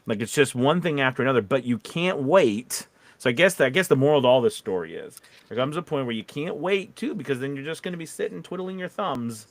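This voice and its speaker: A man's voice: medium pitch, lively intonation, brisk, dry recording. A man hosting a casual discussion, giving informal advice and often rephrasing as he goes. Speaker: podcast host.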